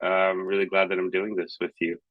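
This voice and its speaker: A man's voice: deep voice, little pitch movement, a little fast, no room sound, phone-quality sound. A man in his thirties from Hong Kong, co-hosting a casual podcast chat in a rambling, hesitant, thoughtful way. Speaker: podcast co-host.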